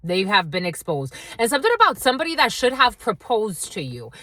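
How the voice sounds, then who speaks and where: A woman's voice: mid-range voice, sing-song, fairly quick, bright, forceful tone, dry recording. Rambling tarot reader woman, dry close sound. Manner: rambling, intuitive, addresses viewers directly. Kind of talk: channeled tarot reading.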